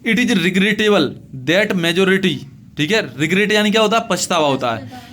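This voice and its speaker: A man's voice: high-pitched, fairly bright tone. A man with a north-central Indian accent teaching a lesson, repeating phrases and asking questions that he answers himself. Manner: repetitive, asks questions and answers them himself; slow, deliberate reading of English phrases, translated piece by piece. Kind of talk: classroom English lesson explained in Hindi.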